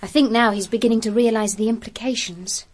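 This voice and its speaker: A woman's voice: fairly high pitch, steady tone, fairly quick, lightly acted. An actress playing a self-assured, defiant, arty young woman in a radio drama, articulate and earnest. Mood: concerned.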